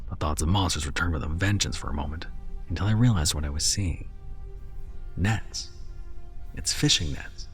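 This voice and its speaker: A man tells a first-person deep-sea horror story in a measured, steady delivery. His voice is very low. Quiet music plays under the narration. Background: soft background music.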